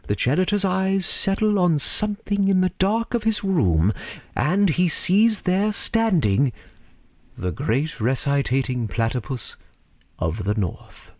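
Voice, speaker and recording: A man narrating a scripted story theatrically, with dramatic, deliberate phrasing and pauses. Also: high voice, expressive, a little mellow, no room sound, muffled recording.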